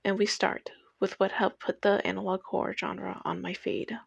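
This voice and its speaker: Young female video essayist, mid-pitched with noticeable vocal fry, reading a personal script in a dry space. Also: level tone, normal speed, dry recording, muffled recording.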